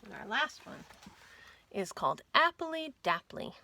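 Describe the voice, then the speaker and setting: A woman hosting a children's storytime, reading stories and nursery rhymes aloud in an expressive, clearly enunciated way for young listeners. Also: fairly high pitch, unhurried. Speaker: children's storytime host at a library.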